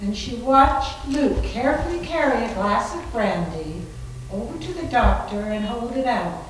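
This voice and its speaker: A woman narrates a story dramatically in a fairly high voice. She is far from the mic in a very echoey room, with faint noise behind her. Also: big pitch swings, measured pace. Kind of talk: dramatic story narration, live readers theater. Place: library room, live staged reading.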